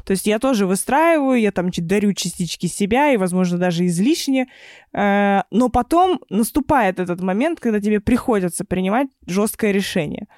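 A woman's voice: medium pitch, animated, normal speed, darkish tone, dry recording, clean studio sound. A woman hosting a casual interview, thinking aloud reflectively as she asks her questions. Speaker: podcast host.

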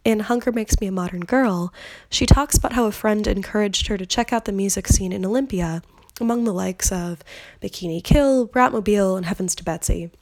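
A woman in her thirties talks through her solo podcast with a gravelly, creaky edge to her voice. She is candid and loose, drops the odd swear word, and sounds slightly stuffed up from a cold. The recording is close and dry, with no room sound. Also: mid-range voice, brisk, a little mellow, no room sound. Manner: candid, swears freely, conversational. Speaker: podcast host. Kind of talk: casual solo podcast monologue.

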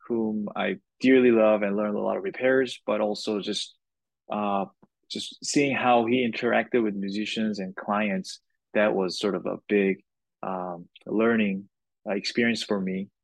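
Unhurried man with a low, dry voice. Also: no room sound, poor audio. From Korea. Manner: unhurried, speaks in short phrases with pauses. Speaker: guitar maker, guest. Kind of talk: casual interview answers.

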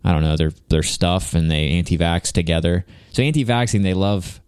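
A man's voice: deep voice, normal speed, a little mellow, clear, resonant tone, no room sound, studio quality. A man in casual, joking, rambling chat, full of fillers. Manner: laid-back, joking, rambling with many fillers.

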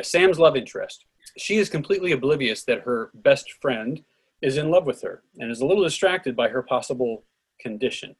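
A man with a husky voice reading out a play's stage directions and cues as scripted narration. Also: medium pitch.

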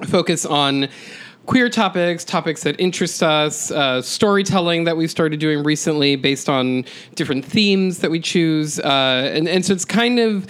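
A man as a podcast guest, explaining casually and haltingly, pausing to pick his words. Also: fairly high pitch, lively intonation, fairly bright tone, smooth.

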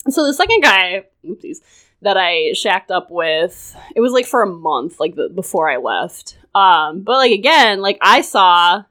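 A woman's voice: mid-range voice, sing-song, measured pace, a bit bright. A woman guest on a casual podcast, telling a personal story in a loose, chatty way, with lots of "like" and frequent laughter. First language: English. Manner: chatty, full of "like", laughs often.

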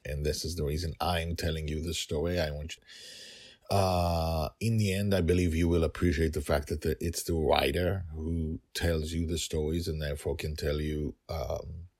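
A man's voice: very deep voice, steady tone, normal speed, a little mellow, dry recording, studio quality. A man hosting a podcast as its writer, talking informally in a rambling, emphatic way as he thinks aloud.

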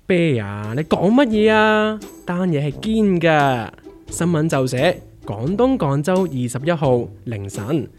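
A man's voice: a little high, sing-song, a little slow, a little mellow, soft background music. A man playing a level-headed, wry character who reports news emphatically in a comedic radio drama, with a Hong Kong Cantonese accent. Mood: dramatic reporting. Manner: emphatic, wry newsreader-like delivery.

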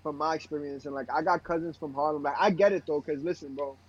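A man's voice: a little high, steady tone, fast, breathy edge. A young man with a Brooklyn accent chatting casually in slangy, profane, emphatic talk. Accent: Brooklyn, New York. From Brooklyn, New York. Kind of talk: casual chat. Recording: slightly dull, lo-fi voice audio.

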